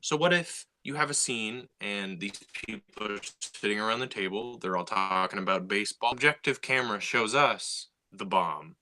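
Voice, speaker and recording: A man's voice: lowish voice, moderate pace, few pauses, bright, forceful tone, slight background noise. A man co-hosting a casual podcast, explaining his ideas and building his points step by step. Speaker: podcast co-host and writer.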